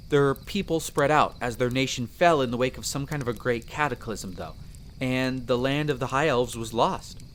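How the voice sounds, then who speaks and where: A man's voice: mid-range voice, a little hiss or hum, natural voice. A man playing a friendly, chatty elf explorer in a roleplay audio drama, explaining things in an easygoing, conversational way to the listener. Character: friendly chatty male elf explorer, eager magic mentor.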